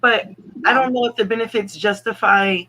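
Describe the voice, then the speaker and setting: Livestream guest, measured opinions, bright clear tone. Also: medium pitch, steady tone, rough recording. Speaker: guest on a livestream talk show. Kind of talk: casual conversation.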